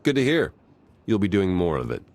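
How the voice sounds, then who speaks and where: Laid-back wry spy in a game, deep voice, casual briefing. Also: male voice, wildly swooping pitch, brisk, vocal fry, a little mellow, full character voice. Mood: wry, businesslike. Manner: casual, wry, matter-of-fact. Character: wry, easygoing male spy briefing his team. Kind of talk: video game acting.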